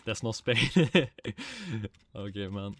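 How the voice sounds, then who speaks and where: Low-voiced Swedish man streaming a game. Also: takes it slowly, no echo. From Sweden. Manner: relaxed, muttering to himself, casual swearing, reading chat aloud. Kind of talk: casual livestream gaming chat. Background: faint music and keyboard typing.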